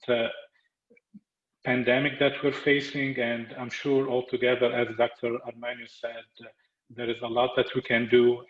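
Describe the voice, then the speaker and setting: Man, Lebanese accent, medical webinar lecturer, mid-pitched voice, halting short phrases, roomy muffled audio. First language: Arabic. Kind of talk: webinar presentation.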